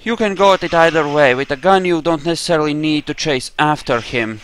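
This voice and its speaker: A man's voice: fairly high pitch, brisk, fairly bright tone, a little hiss or hum. A man giving casual role-play commentary over a video game, explaining his character and trash-talking enemies. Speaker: gamer, playthrough host.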